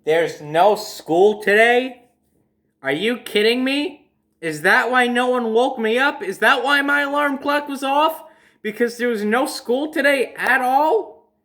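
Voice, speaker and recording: A young adult man telling a casual personal story as host, in a dramatic, emphatic manner with drawn-out phrases. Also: extremely high pitch, creaky, bright, forceful tone.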